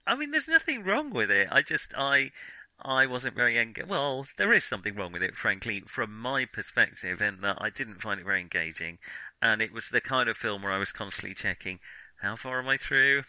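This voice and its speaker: A man with a very bright, sharp voice, recorded close and dry. He talks casually as a film podcast co-host, hedging his opinions and often stopping to rephrase them. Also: mid-range voice, sing-song, a little fast, no room sound, rough recording. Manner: hesitant, hedging, self-correcting.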